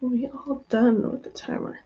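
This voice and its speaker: Host, female, worn by a cold; higher, mellow tone; chatty and laid-back, lots of ums. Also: unhurried, breathy edge. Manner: chatty and relaxed, lots of "um"s and small laughs. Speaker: livestream host of reading sprints. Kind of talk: casual livestream chat. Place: at home, room with her cat. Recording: dull lo-fi stream audio.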